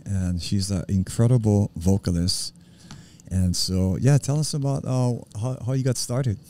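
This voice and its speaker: A man hosting a casual music show, excitable and quick to laugh. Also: fairly low pitch, slight background noise. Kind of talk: casual chat.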